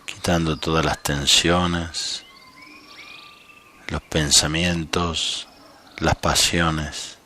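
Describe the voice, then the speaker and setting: A man leading a guided meditation as a coach, speaking calmly and without hurry, with long pauses between short instructions. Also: rumbling low, a little hiss or hum. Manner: calm, unhurried, leaves long pauses.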